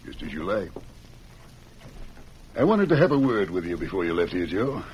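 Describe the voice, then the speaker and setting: An actor playing an earnest, firm but kind prison warden in the Old West, in a measured radio western style. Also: male voice, low-pitched, big pitch swings, a little mellow, highs cut off, a little hiss or hum. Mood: firm, polite. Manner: persuasive, measured, often addresses listener by name.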